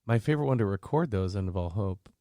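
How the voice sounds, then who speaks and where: A man co-hosting a podcast in casual chat, low-key, his words trailing off quietly. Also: animated, fast, no room sound, studio quality.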